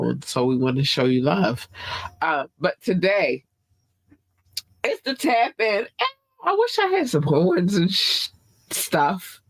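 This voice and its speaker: A man's voice: fairly high pitch, dry recording. A man hosting a live podcast in a laid-back, chatty way, audibly congested. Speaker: podcast host. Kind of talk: casual live podcast talk.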